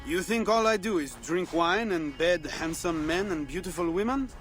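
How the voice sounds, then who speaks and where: Smug, high-ish spymaster. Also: male voice, animated, moderate pace, a bit bright, faint music behind. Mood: smug, knowing. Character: worldly, hedonistic Frankish male spymaster, smug and flamboyant. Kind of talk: video game dialogue acting.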